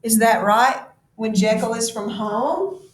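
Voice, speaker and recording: Woman reading as polite gentleman lawyer, echoey room. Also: a little high, slow. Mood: polite, probing. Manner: formal, questioning. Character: polite, inquisitive gentleman lawyer in a gothic tale. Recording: echoey room, distant mic, faint noise.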